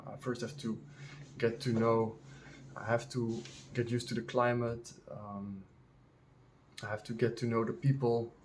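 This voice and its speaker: Dutch native speaker, man, 30s, vlogger; slow, halting, lots of ums and restarts, mid pitch. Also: little pitch movement, a little hiss or hum, rough recording. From Netherlands. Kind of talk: casual unscripted monologue.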